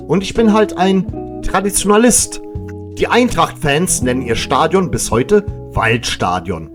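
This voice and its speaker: Man, medium pitch, casual satirical monologue, tongue-in-cheek, fan show host, music behind. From Serbian family background. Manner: tongue-in-cheek, joking asides.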